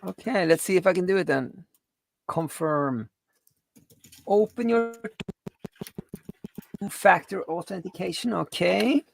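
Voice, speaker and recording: A woman's voice: fairly low pitch, measured pace, gravelly, pauses often, a little hiss or hum. A woman co-hosting a coding live stream with casual chat and short asides.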